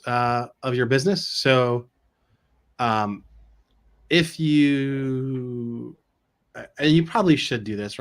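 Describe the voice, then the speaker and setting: Casual middle-aged man chatting informally. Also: mid-range voice. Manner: informal, peppered with rhetorical check-ins. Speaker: co-host of a business live stream. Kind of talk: casual conversational advice. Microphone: video call.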